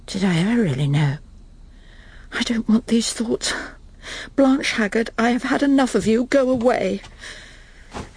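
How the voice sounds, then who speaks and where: Prim, expressive wife and mother. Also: medium pitch, slightly rough, full character voice. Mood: troubled, brooding. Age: middle-aged. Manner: prim, clipped, self-reassuring. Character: proper, self-satisfied English wife and mother, anxiously brooding. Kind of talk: radio drama acting, dialogue and inner monologue.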